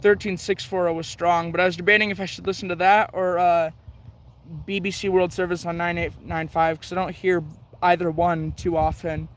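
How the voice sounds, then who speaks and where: A man's voice: sits high, little pitch movement, normal speed, a little hiss or hum. A man talking casually and chattily as a hobbyist host, thinking aloud in rambling commentary while he tunes a radio.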